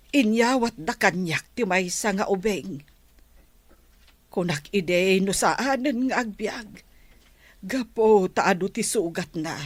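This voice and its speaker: A woman acting in a radio drama as a remorseful older grandmother confessing a secret, halting and pleading. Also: medium pitch, dry recording.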